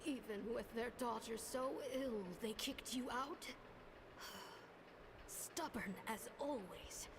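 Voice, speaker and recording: An actress playing a stubborn, ailing old woman doctor in a video game, weary and deliberate in a dramatic style. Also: fairly high pitch. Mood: weary, bitter. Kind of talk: dramatic scripted game dialogue. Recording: clearly noisy game stream, ambient sound behind.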